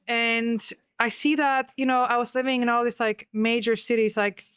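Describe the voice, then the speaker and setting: Woman host, smooth clear voice a bit high, dry mic, thoughtful chatty questions. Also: steady tone, moderate pace, fairly bright tone, no echo. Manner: reflective, asks questions. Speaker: podcast host. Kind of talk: casual interview chat.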